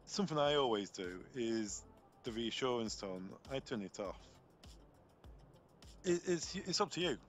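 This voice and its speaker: A man presenting an unscripted software tutorial step by step, explaining as he goes and often repeating words as he finds his phrasing. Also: mid-range voice, lively intonation, measured pace, pauses often, faint music behind. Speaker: product trainer demonstrating intercom software.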